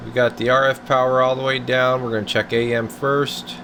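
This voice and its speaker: A man's voice: mid-range voice, a little fast. A man giving a casual hands-on radio repair demo, thinking aloud informally as he works. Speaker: radio technician demonstrating a tuned-up CB radio. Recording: clear steady background noise, air conditioner hum.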